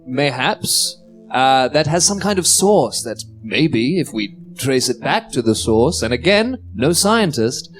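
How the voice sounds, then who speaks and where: A man voicing a pompous but humble amateur investigator in a tabletop game, in a halting, mock-formal way. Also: mid-range voice, very animated, soft background music. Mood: pondering.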